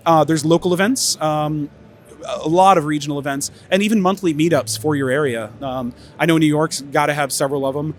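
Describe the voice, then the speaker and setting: A man's voice: on the high side, steady tone, brisk, slight background noise. A man presenting at an audio trade expo in a casual conference-talk manner, voice a bit tired from many interviews, with lots of fillers and restarts. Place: expo stage.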